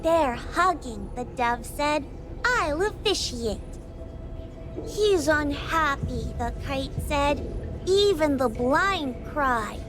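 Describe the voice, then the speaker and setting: Very high-pitched, excitable girlish game character. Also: slow pace, over music. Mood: storytelling. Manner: emphatic, exclamatory, dramatic. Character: excitable, childlike young female detective game character. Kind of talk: animated anime game voice acting.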